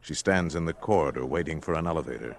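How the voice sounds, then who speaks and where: A man's voice: extremely low pitch, quick pace, vocal fry, slight background noise. An actor as the narrator of a radio drama, a man telling the story in a theatrical, florid, suspenseful way. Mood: ominous. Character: dramatic storyteller, ominous and wryly relishing. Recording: music under the narration. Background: dramatic music.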